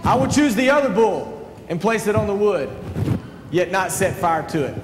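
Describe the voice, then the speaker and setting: Man acting a defiant prophet, high voice, emphatic declamation, Bible stage play, echoey auditorium. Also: expressive, normal speed, music in the background. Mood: solemn, challenging. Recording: echoey auditorium, voice at a distance, faint noise.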